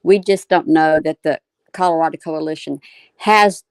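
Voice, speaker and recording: A woman's voice: deep voice, moderate pace, dry recording. A woman panelist casually explaining a point in answer to a comment.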